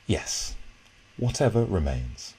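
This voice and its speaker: Casting: man voicing gentle, reflective storybook woman, thirties, low register, expressive phrasing. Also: slow, slightly rough, heavily acted, low-quality recording. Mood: light, warm. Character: Josephine, gentle adult woman in her thirties, settled expatriate.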